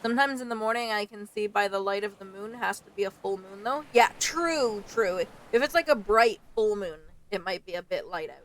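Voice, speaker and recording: A woman giving casual, expressive let's-play commentary as a gaming streamer, informal and prone to swearing. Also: mid-range voice, a little fast, hardly any pauses, a little hiss or hum, low-quality recording.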